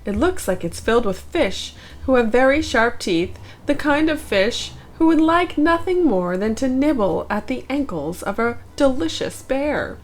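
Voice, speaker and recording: Woman as an animated, fretful storybook bear. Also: high voice, a little slow, few pauses, pitched above the performer's own voice. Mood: worried, fretful. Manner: fretful, listing worries and denying fear. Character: easily scared, worrying male bear.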